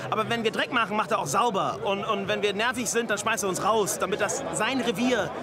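A man, a beer hall guest, talking eagerly and quickly. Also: high voice. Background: loud crowd.

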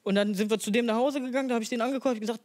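A man's voice: very high voice, fairly even intonation, very fast, a little mellow. A young man from the Ruhr area, a comedian guest in a casual chat, laid-back and laughing easily.

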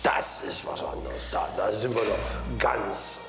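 A man's voice: lowish voice, expressive, slow, gravelly. A man chatting casually with his wife, self-mocking and full of hesitation sounds. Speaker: traveling husband. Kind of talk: casual chat. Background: music.

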